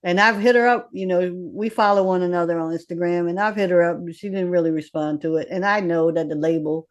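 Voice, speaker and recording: A woman's voice: fairly low pitch, a little fast. Blunt streetwise woman in her fifties. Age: 50s. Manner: blunt, swearing, streetwise and animated. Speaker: recording artist, guest. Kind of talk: candid casual interview talk.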